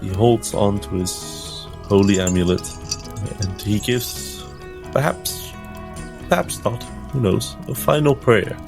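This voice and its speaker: A man's voice: on the low side, takes it slowly, vocal fry. A man playing a tabletop roleplaying game, narrating what his character does. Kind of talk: narrating own character's actions. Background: music.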